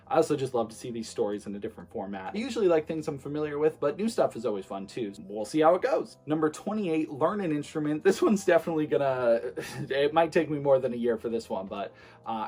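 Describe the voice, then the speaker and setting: A man's voice: medium pitch, husky. Casual young man with faint music. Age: 20s. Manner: relaxed, rambling, many fillers and restarts. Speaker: streamer and vlogger. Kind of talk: casual talk to camera.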